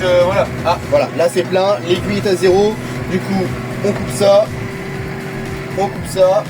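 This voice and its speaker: A man's voice: sits high, rough recording. Stuffy-nosed young Frenchman filming his farm work. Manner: chatty, lots of hesitations and repeats. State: has a cold, stuffy nose. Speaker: farm vlogger. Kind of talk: casual running commentary while working. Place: tractor cab and farmyard outdoors.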